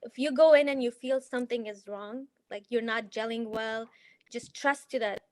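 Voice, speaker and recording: A woman who grew up in Afghanistan, a healer guest in an interview, talking earnestly with many pauses, fillers and restarts. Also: fairly high pitch, a little mellow, no echo. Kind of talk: casual interview conversation.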